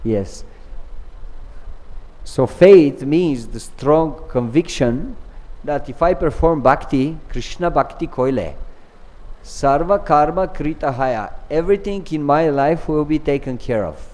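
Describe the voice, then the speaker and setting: A man from Bulgaria, giving an earnest devotional scripture class and telling stories with pauses and restarts. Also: on the high side, animated, a little mellow. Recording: long reverb of a large hall.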